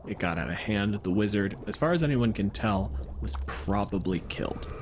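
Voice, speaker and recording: Low-pitched man telling a fantasy tale. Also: lively intonation, moderate pace, very noisy. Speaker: tabletop game player and narrator. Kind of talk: dramatic improvised storytelling. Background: music with guitar.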